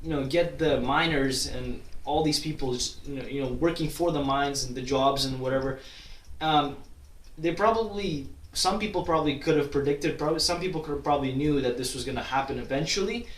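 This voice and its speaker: A man's voice: a little high, a little fast. Rambling young man, roomy distant sound. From Kazakhstan. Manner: rambling, many restarts and fillers. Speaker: YouTuber. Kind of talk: casual Q&A vlog. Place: at his grandmother's town in Kazakhstan. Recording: roomy echo, distant from mic.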